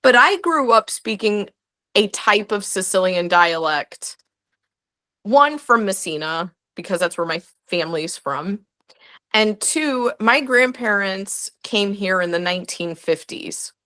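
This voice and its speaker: A woman's voice: mid-range voice, expressive, a little slow, bright, forceful tone. A young woman, a guest historian, answering interview questions in a chatty way full of "like" and restarts.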